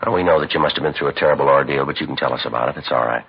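An actor playing a stoic, businesslike police detective in a 1950s radio drama, clipped and deadpan. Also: male voice, very deep voice, very fast, creaky, breathy edge, exaggerated voice. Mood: calm, probing. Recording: dull, noisy old radio recording.

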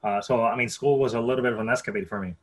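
A man's voice: medium pitch, very fast. A man in his thirties from Puerto Rico, speaking English with a Puerto Rican accent as a podcast guest. He tells personal stories in a conversational way, doubling back on words and phrases as he thinks.

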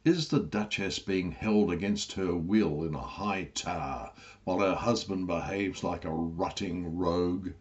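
A man voicing a prim, self-possessed young governess with crisp, formal indignation in a comic Georgian storybook. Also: low-pitched, a little slow, dull audio. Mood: indignant.

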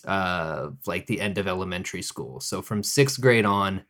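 A man's voice: low voice, expressive, normal speed, a bit bright. A man from Oklahoma co-hosting a podcast, chatting in an easygoing, agreeable and thoughtful way. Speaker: podcast co-host. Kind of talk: casual conversation.

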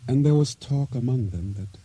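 An actor playing a solemn island boatman, narrating his ordeal at sea in measured, formal, deliberate words for a radio drama. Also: mid-range voice, expressive, warm tone, breathy edge. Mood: subdued, somber. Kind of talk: dramatic first-person narration for radio theater. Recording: radio drama with music underscore.

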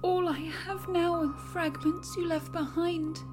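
A frightened young girl played by a woman speaks in a high, pleading voice. She whimpers her arguments in a horror audio drama, with music clearly behind her. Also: steady tone, a little slow. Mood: mournful longing.

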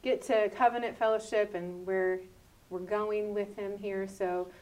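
A woman's voice: medium pitch, steady tone, a little slow, darkish tone. A woman hosting a podcast interview in a conversational way, introducing her guest and prompting with questions. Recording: distant from the microphone.

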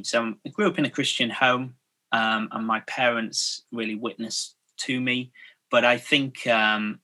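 Man in his forties, pastor guest, bright voice, modest, lots of ums. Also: on the low side. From North Yorkshire, England. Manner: modest, self-deprecating, many fillers. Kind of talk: casual interview chat.

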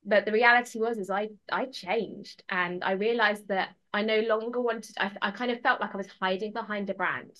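A woman's voice: mid-range voice, steady tone, moderate pace, few pauses, a bit bright. A woman speaking as a podcast guest in a casual, conversational way, earnest and emphatic, repeating phrases for stress. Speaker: podcast guest, personal transformation mentor.

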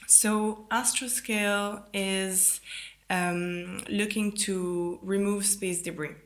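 A woman's voice: mid-range voice, fairly even intonation, slow pace. A young French woman who grew up in Italy, a native French speaker, explaining her work as an interview guest. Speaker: podcast guest, ground systems engineer.

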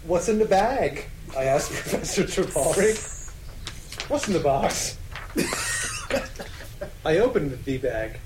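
A man's voice: on the high side, wildly swooping pitch, slow pace, long pauses, background noise. A man co-hosting a podcast, reading fan fiction dramatically and bantering casually, often amused as he goes. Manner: often breaking into laughter while reading. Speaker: podcast co-host.

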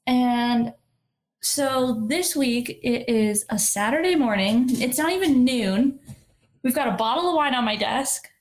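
A woman's voice: on the high side, animated, unhurried. A young woman in her twenties with a nasal voice, co-hosting a podcast in casual chat, swearing and laughing often. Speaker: podcast co-host.

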